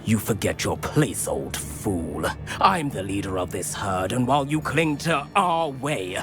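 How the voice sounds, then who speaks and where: Menacing centaur leader, wildly swinging pitch. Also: male voice, expressive, a little slow. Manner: contemptuous, threatening. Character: hostile, domineering male centaur herd leader. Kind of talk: dramatic video game voice acting. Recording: loud music behind the voice. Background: music.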